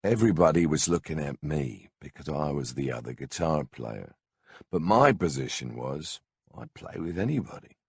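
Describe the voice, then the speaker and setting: A man with a gravelly, husky voice narrates a memoir audiobook in a laid-back, blunt, wry, conversational storytelling way on a close microphone. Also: very deep voice, big pitch swings, moderate pace, a little mellow, no room sound.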